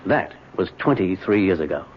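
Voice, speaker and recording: Through a dull, slightly noisy old radio recording comes a low-pitched middle-aged businessman. He is weary and evasive, repeating himself haltingly with earnest longing, in vintage radio drama style. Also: sing-song. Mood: weary, resolute.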